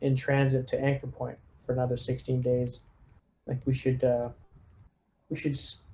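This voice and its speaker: A man's voice: mid-range voice, monotone, moderate pace, dark tone. A man chatting casually as a player in a roleplaying game, thinking aloud with some hesitation. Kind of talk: casual chat during a game.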